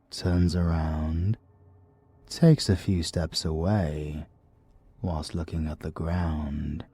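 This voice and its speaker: A British man narrating an audiobook in a slow, measured, menacing way with a gravelly, husky voice. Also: deep voice, mellow.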